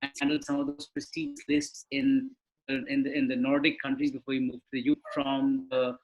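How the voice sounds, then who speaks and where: A man's voice: medium pitch, animated, normal speed, creaky, darkish tone, rough recording. A man, speaking as a panelist on a live webinar over a video call, explaining in a conversational way and asking rhetorical questions. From India.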